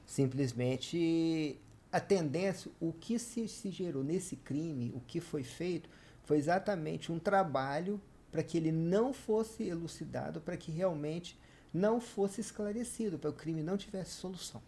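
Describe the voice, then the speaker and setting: A man's voice: on the high side, moderate pace, warm tone. A man, explaining at length and with emphasis in a casual book discussion. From Espírito Santo, Brazil. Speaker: co-reviewer.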